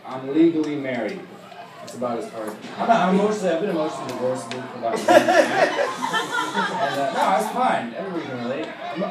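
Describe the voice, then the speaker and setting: Host guy cracking quick deadpan one-liners, talks fast, bouncy pitch, loud noisy bar crowd. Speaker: talk show host. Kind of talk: live comedy banter. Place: comedy bar stage with live audience. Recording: echoey live room from far off, loud crowd and noise.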